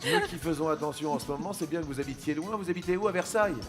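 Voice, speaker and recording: A man's voice: fairly high pitch, racing. A man hosting a quiz show in a playful, teasing and theatrical manner, sometimes slipping into song. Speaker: game show host. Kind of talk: lively quiz show presenting with playful banter. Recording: TV studio with music behind. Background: show music.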